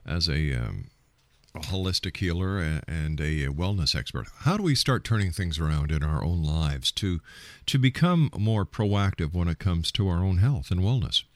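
A man hosting a radio interview, putting questions in halting, deliberate phrases. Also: low voice, expressive, no room sound.

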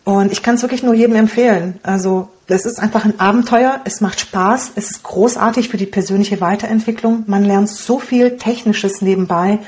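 A woman in her forties from Romania whose first language is Hungarian, a guest blogger and copywriter talking casually in an interview in a lively, cheeky way. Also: mid-range voice, moderate pace, few pauses. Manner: lively, cheeky, laughs often.

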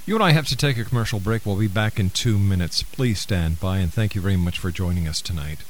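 A man hosting a radio talk show, speaking earnestly and deliberately as he interviews and makes announcements. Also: low-pitched. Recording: hissy, noisy broadcast audio.

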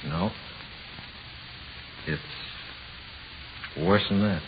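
Muffled, hissy forties radio horror. a dead convict's ghost with a low voice and tough gangster slang. He is laconic, taunting and coldly persuasive, his pitch moving freely. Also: big pitch swings, extremely slow, vocal fry, full character voice. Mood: grimly persuasive. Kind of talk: 1940s radio horror acting.

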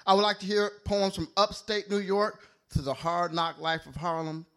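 A man's voice: high-pitched, level tone, normal speed, a bit bright. A man performing a slam poem live, with a playful, rhyming, list-driven delivery. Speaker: slam poet. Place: live poetry slam venue.

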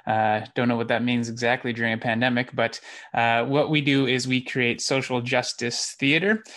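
A man's voice: mid-range voice, fairly even intonation, normal speed, a bit bright. A man, a theatre producer, answering interview questions in a friendly, explanatory way. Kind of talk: interview guest.

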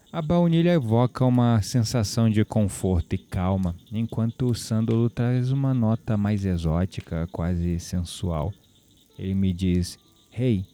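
Man acting smitten young Brazilian guy, low and mellow. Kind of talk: audio drama acting.

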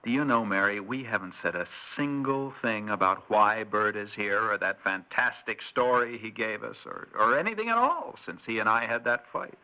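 An actor playing a suspicious, impatient suburban husband in a 1960s radio drama, blunt and insistent. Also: male voice, on the low side, lively intonation, moderate pace, hardly any pauses. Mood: confiding. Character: exasperated suspicious suburban husband demanding answers. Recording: dull lo-fi 1960s radio recording.

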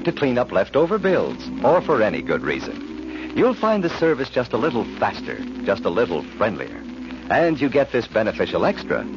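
A man announcing a scripted commercial in vintage radio style. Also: mid-range voice. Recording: muffled vintage radio recording.

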